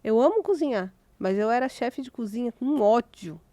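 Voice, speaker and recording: A woman's voice: a little high, animated, moderate pace, dark tone, no echo. A Brazilian woman, a writer and mentor, speaking earnestly and motivationally as a podcast host. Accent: Brazilian Portuguese. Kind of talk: casual conversation.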